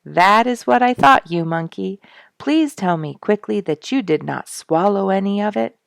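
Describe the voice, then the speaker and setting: A woman's voice: mid-range voice, animated, moderate pace, gravelly, no room sound, pitched above the performer's own voice. A woman voicing a jovial, knowing woodsman in a storybook, with a hearty, teasing, emphatic manner. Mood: teasing concern. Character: jovial, knowledgeable woodsman, teasing his young friend.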